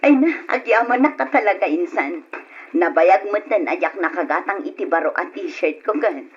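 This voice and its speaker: A woman acting a humble, long-suffering mother and struggling wife in an old radio drama, in a husky voice. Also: on the low side, animated, moderate pace. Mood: grateful. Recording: dull lo-fi old radio recording.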